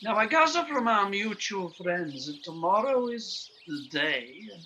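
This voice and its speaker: An actor playing a genial but secretive professor in a radio play, with a courteous, theatrical and halting delivery. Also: male voice, high-pitched, big pitch swings, pushed and bright, highs cut off, slight background noise, heavily acted. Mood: sly, conspiratorial. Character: odd, genial bird-shop professor secretly supplying saboteurs with bombs.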